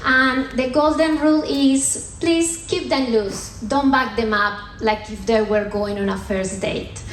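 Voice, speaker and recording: A woman's voice: a little high, takes it slowly, a little hiss or hum. A woman in her thirties from Colombia with a Colombian accent, giving a playful stand-up style science talk on stage and teasing the audience. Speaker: sustainability manager giving a comedy science talk. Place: theatre stage at a comedy festival. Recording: roomy hall with faint ambience.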